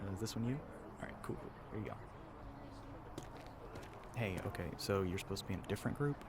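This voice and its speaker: A man playing a low-voiced, teasing young tour guide. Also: fast, heavy background noise, natural voice. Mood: friendly, quieter. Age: young adult. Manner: casual, chatty, teasing, sighs between remarks. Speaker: audio roleplay voice actor. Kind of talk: scripted roleplay addressed to the listener.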